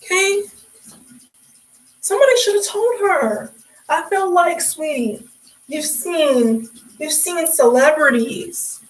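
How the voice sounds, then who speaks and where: A young woman chatting on a livestream, sassy and talkative, calling people sweetie and honey. Her slightly high voice is worn hoarse and raspy from hours of talking without water. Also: slow, pauses often, low-quality recording. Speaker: livestream host. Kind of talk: casual livestream chat.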